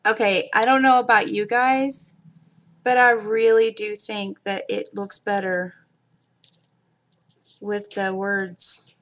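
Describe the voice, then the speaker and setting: A woman's voice: mid-range voice, fairly even intonation, takes it slowly, pauses often. Chatty woman with a mild Kentucky accent. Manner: chatty, talks to viewers in the chat. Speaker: livestream crafter. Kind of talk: casual live-stream chat.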